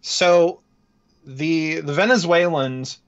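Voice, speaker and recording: A man's voice: a little high, takes it slowly. A man giving casual political commentary in an explanatory, emphatic way, heard over a dull, lo-fi connection. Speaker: talk show participant discussing politics.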